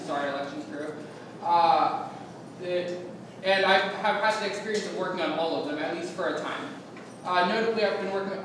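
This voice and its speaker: Young man, high voice, self-correcting campaign speech, echoey hall, heard from far off. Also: noisy background. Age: young adult. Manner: rambling, self-correcting, wry. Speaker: speaker candidate. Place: large echoey meeting room. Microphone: distant room mic.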